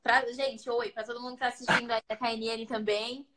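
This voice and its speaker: A young Brazilian woman hosts a phone livestream in a casual, teasing tone. Her voice sits a little high, and the room around her is echoey. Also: level tone, fairly bright tone. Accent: Brazilian Portuguese. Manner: chatty and playful, quick asides to viewers. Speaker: livestream host.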